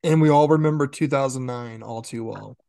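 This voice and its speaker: A man's voice: medium pitch, brisk, gravelly, mellow, dry recording, clean studio sound. A man co-hosting a horror podcast, explaining casually with lots of fillers and easy laughs. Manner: chatty, laughs easily, filler-heavy explaining. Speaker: podcast co-host.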